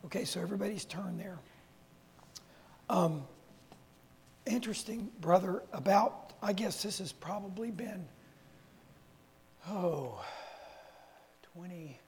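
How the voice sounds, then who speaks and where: A man's voice: high-pitched, lively intonation, slow pace, long pauses. A man preaching a conversational sermon to a church assembly, rambling through folksy personal stories with self-correcting asides. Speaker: lay preacher. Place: church meeting hall. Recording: reverberant hall with faint hum.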